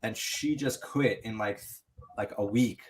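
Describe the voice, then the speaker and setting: A man's voice: fairly low pitch, measured pace. Man, casual podcast storyteller, loose and rambling, lots of restarts. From United States. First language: English. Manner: rambling, many restarts and "you know". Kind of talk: casual chat.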